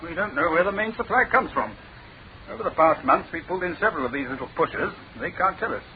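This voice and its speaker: An actor playing a gruff, sceptical English chief inspector in a classic radio drama, talking in clipped, telegraphic phrases. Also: male voice, on the high side, expressive, fast. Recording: tinny band-limited old radio recording.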